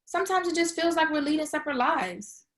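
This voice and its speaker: A woman's voice: high voice, expressive, brisk, fairly bright tone. An actress at a table read playing a playful, needy girlfriend in a relationship comedy-drama. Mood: disappointed. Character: playful, needy, broke long-distance girlfriend.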